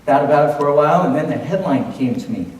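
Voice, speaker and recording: A man's voice: on the high side, expressive, fast talker, darkish tone. Echoey, distant Californian man giving a speech. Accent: Californian American. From Los Angeles, United States. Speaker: speech contest contestant. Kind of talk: humorous storytelling speech to an audience. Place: indoor room at a speech contest, audience present. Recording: echoey room from far off, faint noise.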